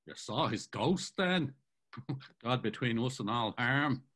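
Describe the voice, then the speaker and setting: A man's voice: medium pitch, expressive, takes it slowly, fairly bright tone. A man playing a jaunty Irish pub gossip. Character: gossiping Dublin drinker Joe, jaunty. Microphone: video call webcam.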